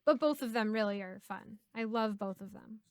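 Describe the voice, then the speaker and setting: Giggly woman with a higher voice. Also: moderate pace, dry recording. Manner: giggly, animated. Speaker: host and voice actor answering viewer questions. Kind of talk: casual Q&A chat among friends.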